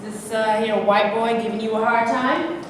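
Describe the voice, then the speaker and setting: A woman's voice: a little high, fairly even intonation, moderate pace, close to an everyday voice. A woman in a staged play reading, playing a bullying, slangy teenage boy of about eighteen. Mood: taunting. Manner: taunting, slangy. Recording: very echoey hall, distant mic, clear noise.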